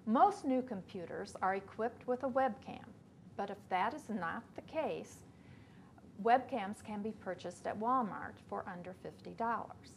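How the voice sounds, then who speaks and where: A woman's voice: medium pitch, lively intonation, gravelly. Scripted woman presenter, faint hiss. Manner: measured, reads from a script. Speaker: educator presenting a webcast. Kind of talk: scripted instructional narration. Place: small studio before a backdrop.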